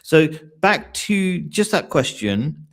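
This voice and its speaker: A man's voice: fairly high pitch, sing-song, slow pace. Man hosting a live chat. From England. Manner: questioning, restarts sentences often. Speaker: host. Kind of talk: live online discussion.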